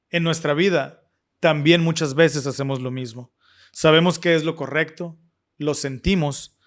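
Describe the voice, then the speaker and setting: A man hosting a scripture study discussion, speaking earnestly with fillers and restarts. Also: fairly high pitch. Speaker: podcast host.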